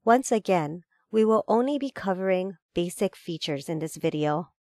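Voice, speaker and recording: Woman narrating a tutorial, dry and measured. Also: on the low side, animated, darkish tone, no room sound. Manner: measured, formal, step-by-step. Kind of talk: scripted instructional narration.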